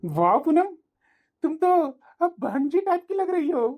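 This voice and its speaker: A woman's voice: high voice, big pitch swings, measured pace, over music, full character voice. A woman playing a smug ex-boyfriend turned blackmailer in a melodrama, mocking and taunting. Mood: smug, menacing. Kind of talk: melodramatic family story dialogue.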